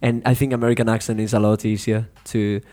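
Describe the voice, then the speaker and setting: A man's voice: fairly low pitch, level tone, fast, mellow. A man hosting a podcast as an English teacher, interviewing a student in a loose, rambling way with many "you know" fillers. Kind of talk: casual interview chat.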